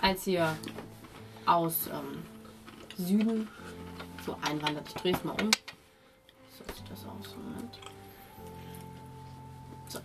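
A woman's voice: on the low side, slow pace, vocal fry, pauses often. A woman improvising narration as game master of a tabletop role-playing game, often searching for words. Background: music.

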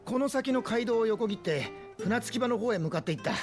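A man playing a friendly, grateful traveling merchant in a video game, with folksy, rough speech. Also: very high-pitched, animated, brisk, music in the background. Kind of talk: dramatic video game voice acting.

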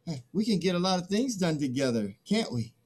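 A man's voice: high voice, big pitch swings, fairly quick, a little mellow. A middle-aged man playing a boastful, stubborn early human in a dramatic play reading. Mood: awkward, eager. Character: first Man, boastful, greedy, stubborn, awkward.

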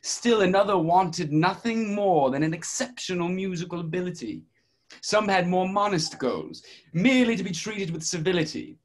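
A man's voice: high-pitched, moderate pace, lightly acted. A man acting in a readers' theatre piece, playing a pompous storyteller king who declaims comic rhymed verse. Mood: storytelling. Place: indoors at home on a video call.

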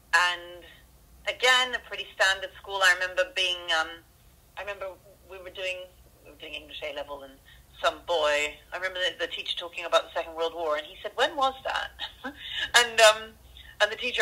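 A woman's voice: fairly low pitch, bright, forceful tone. A woman who grew up in Canada, a school headmistress, talking earnestly in a phone interview with many ums, from a school office. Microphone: telephone. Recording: phone-line audio.

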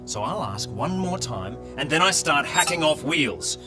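A man's voice: on the high side, sing-song, unhurried, over music. A man playing a tough, suspicious tin man who talks in a blunt, sardonic, threatening way in an audio drama. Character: tough, suspicious Tin Woodman, a gruff interrogator.

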